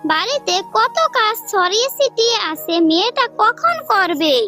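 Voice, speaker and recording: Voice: extremely high pitch, expressive, moderate pace, pushed and bright, faint music behind, heavily acted. A performer voicing a cruel, nagging aunt in a children's cartoon, harsh, scolding and demanding. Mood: irritated. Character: cruel, nagging aunt ordering an orphan around.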